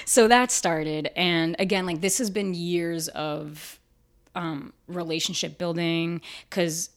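A young woman with a raspy, husky voice that sits a little low for a woman. She talks candidly and casually about her work, full of fillers and asides, as a guest in an interview. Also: slow pace. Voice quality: raspy, fairly deep voice. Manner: candid and chatty, with frequent fillers and laughs.

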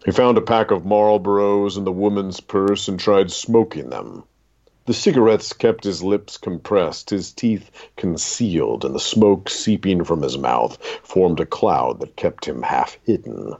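A man with a gravelly, husky voice reading a literary short story aloud in a dramatic, deliberate way. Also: low voice, expressive, a little mellow, highs cut off.

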